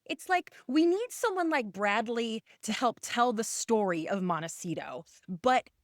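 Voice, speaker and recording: A woman with a fairly high voice hosts a podcast. She is chatty and enthusiastic as she interviews her guests. The sound is dry and close, with no room echo. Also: lively intonation, a little slow. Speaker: podcast host.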